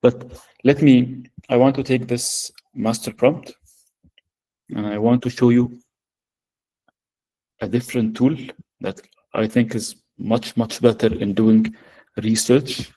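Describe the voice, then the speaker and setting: Arabic-accented male workshop presenter, mellow tone, halting delivery full of "uh"s, on a video call. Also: mid-range voice, fairly even intonation, low-quality recording. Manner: hesitant, many fillers. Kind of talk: live software demo.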